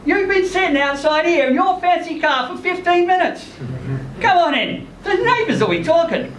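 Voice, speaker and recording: A woman's voice: very high voice, big pitch swings, normal speed, reverberant, voice pushed up. A man voicing a retired grandmother, a frank old flame, in a story read aloud. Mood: brisk, chiding.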